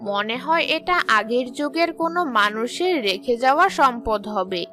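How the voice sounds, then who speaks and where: Cartoon mother, voiced by a woman: practical and measured, slow delivery, advising her child over background music. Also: on the high side, animated, pushed and bright. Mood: pleased. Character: practical, sensible village mother in a moral story.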